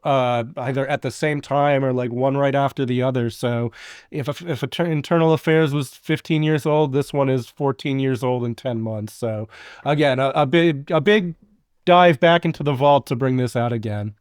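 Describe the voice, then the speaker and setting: Guy co-hosting a podcast, clean smooth voice, very dry recording, chatty. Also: medium pitch, moderate pace, no echo. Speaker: podcast co-host and producer. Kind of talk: casual chat.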